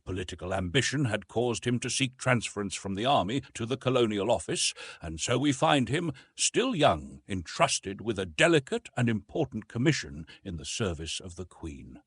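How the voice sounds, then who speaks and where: Male narrator, scripted literary storytelling, formal and measured, animated pitch, husky edge, dry sound. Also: no room sound. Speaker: audiobook narrator.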